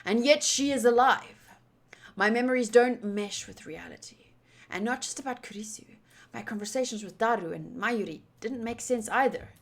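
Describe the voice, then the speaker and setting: A woman streamer reading game text aloud and reacting out loud with exclamations as she comments. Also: a little high, normal speed. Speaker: visual novel playthrough streamer.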